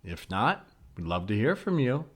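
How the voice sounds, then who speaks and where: Guy podcast host, kinda low voice, casual chatting and reading ads out, comedy show. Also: sing-song, normal speed, slightly rough.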